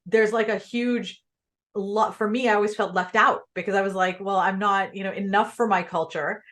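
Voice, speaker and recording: A woman's voice: mid-range voice, normal speed. A young adult woman from Pakistan, Urdu her first language, hosting a casual interview and talking candidly with lots of fillers. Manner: animated and candid, filler-heavy. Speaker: podcast host.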